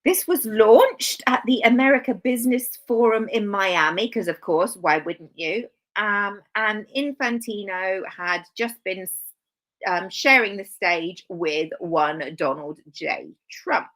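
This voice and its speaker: A woman's voice: medium pitch, expressive, slow pace, fairly bright tone. A woman hosting a live stream, talking casually with dry sarcastic asides and rambling self-corrections.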